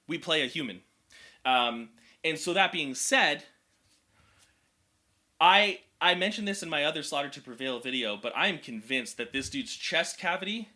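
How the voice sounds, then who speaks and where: A young man with a higher speaking voice, chatting energetically as a vocal coach and explaining vocal anatomy in technical terms. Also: pushed and bright. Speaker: vocal coach reacting to music. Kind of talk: casual reaction and explanation.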